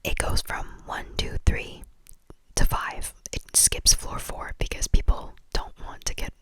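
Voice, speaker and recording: A woman whispering an ASMR-style lesson, repeating words softly and slowly over and over. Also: dry recording, low-quality recording. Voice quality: soft whisper. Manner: repetitive, slow, breathy trigger-word repetition. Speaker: language teacher. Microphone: camera mic.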